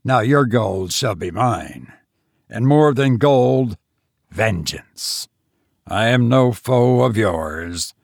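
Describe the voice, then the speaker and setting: A man's voice: fairly low pitch, expressive, slow pace, creaky, no room sound, clean studio sound, heavily acted. A man voicing an insane, murderous tavern host who escaped from prison, roaring and laughing madly. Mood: gloating. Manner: roaring, mad mirthless laughter.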